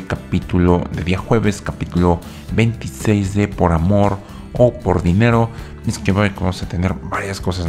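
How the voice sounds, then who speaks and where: Deep-voiced guy chatting loosely about a reality show, lots of filler words, soft guitar in the back. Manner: rambling, many fillers. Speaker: YouTube reality-show commentator. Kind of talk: casual spoken video commentary.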